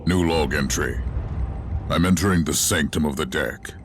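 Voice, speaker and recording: An actor playing a stern, haughty imperial inquisitor in a video game, speaking in a measured, dry, commanding way. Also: male voice, rumbling low, slight background noise. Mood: cool, measured. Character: stern, haughty male imperial inquisitor, cool-headed investigator. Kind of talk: dramatic video game voice acting.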